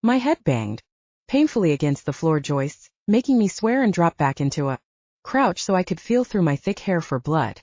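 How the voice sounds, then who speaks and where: A woman narrates first-person fiction in a mellow, slightly low voice. Her intonation is animated throughout. The recording is dry and a little dull. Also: normal speed, no room sound. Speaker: audiobook narrator.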